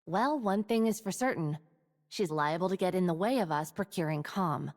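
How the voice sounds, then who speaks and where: A woman's voice: on the low side, normal speed, in a large hall, natural voice. An actress playing a dry, sardonic woman soldier.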